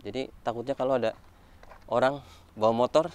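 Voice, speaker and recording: A man's voice: mid-range voice, fairly even intonation, brisk, a little mellow, smooth. A man giving a casual walkaround car review, explaining things informally point by point.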